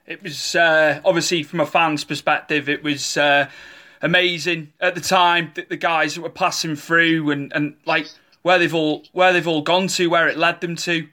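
A man's voice: on the high side, little pitch movement, normal speed, pushed and bright. A man hosting an interview, asking his questions hesitantly with plenty of fillers. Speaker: interview host.

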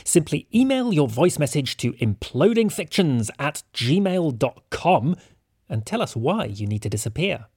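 Husky, animated man reading podcast announcements. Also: on the high side, measured pace, no room sound, clean studio sound. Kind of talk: scripted announcement.

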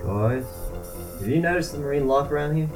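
A man's voice: a little high, animated, a little fast, music in the background, lightly acted. A man acting in an amateur audio drama as a cautious, nervous diver with a casual, wary way of talking. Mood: uneasy.

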